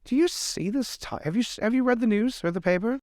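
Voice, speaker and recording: A man's voice: very high voice, animated, fast, darkish tone, no room sound, studio quality, pitched above the performer's own voice. A man improvising a polite, hesitant, faintly peevish lord in a tabletop roleplay. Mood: politely peevish. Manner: polite, hesitant, passive-aggressive. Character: mild young lord, politely peevish, easily pleased.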